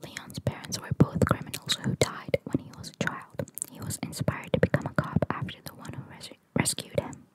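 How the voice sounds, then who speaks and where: A woman's voice: normal speed, few pauses. A woman whispering ASMR-style as she reads out trivia and adds informal, musing asides. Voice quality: soft, unvoiced whisper. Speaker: ASMR content creator. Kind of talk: whispered ASMR reading of trivia with casual commentary.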